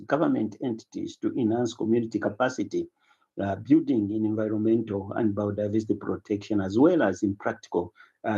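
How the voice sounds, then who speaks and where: A man giving a halting, formal statement in a lo-fi news clip. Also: lowish voice, gravelly, mellow, breathy edge.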